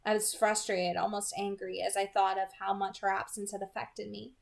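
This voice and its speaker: Female amateur narrator, medium pitch, noticeable vocal fry, scripted fiction read-aloud. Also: little pitch movement, normal speed. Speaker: amateur audiobook reader.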